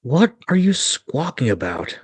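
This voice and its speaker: A man's voice: mid-range voice, big pitch swings, a little slow, husky, no echo. A man voicing a cocky, hot-tempered boy in a storybook reading, emphatic and exasperated. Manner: loud, retorting, emphatic.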